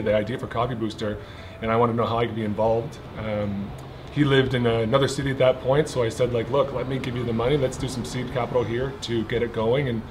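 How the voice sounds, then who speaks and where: A man in his thirties with a Canadian accent, talking casually as a co-founder in a documentary interview. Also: medium pitch. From Winchester, Ontario, Canada. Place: small gym, indoors.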